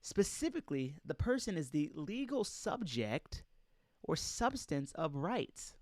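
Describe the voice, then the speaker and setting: High-pitched, animated man reading aloud. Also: no room sound, studio quality. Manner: emphatic, rhetorical questions to listeners. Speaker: presenter reading a document aloud and commenting. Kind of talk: read-aloud lecture with informal asides.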